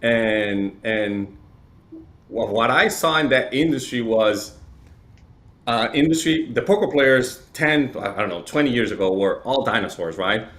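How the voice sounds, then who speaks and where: A man from Chile who grew up speaking talks as a guest in an interview. His pitch sits in the middle for a man, and he explains things conversationally, with frequent tag questions. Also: unhurried, a bit bright. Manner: explanatory, uses frequent "right?" tags. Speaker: interview guest, real estate data provider.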